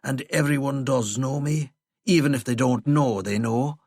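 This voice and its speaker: A rambling, eccentric old prisoner with a thick Kerry brogue, as a male narrator puts him on. He drawls slowly and whimsically, his voice pitched a little above the narrator's own. The recording is close and dry. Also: darkish tone, dry recording, natural voice. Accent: Kerry Irish.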